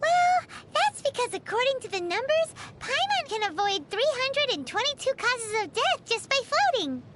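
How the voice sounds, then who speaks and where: A woman voicing a tiny, childlike, excitable fairy companion in scripted game dialogue, with a squeaky, exclamatory delivery. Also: moderate pace, few pauses, noisy background. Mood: cautioning. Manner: exclamatory, refers to self in third person.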